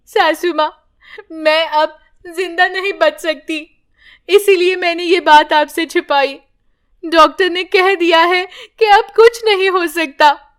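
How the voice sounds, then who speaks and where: A woman doing a sobbing young mother, high and slow, pleading. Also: steady tone, a bit bright, full character voice. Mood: tearful. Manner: scolding, then sobbing prayer. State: crying. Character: grieving young mother, harsh on the surface.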